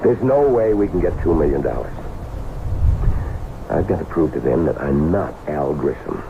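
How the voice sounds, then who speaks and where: A nervous man in over his head in an old radio mystery, stammering as he talks. His very deep voice has a gravelly edge and swings widely. The recording is narrow and lo-fi, with music behind. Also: lively intonation, normal speed, noisy background, full character voice. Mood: anxious. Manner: anxious, stammering. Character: nervous man mistaken for a dead gangster. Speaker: lead character. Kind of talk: 1970s radio drama acting.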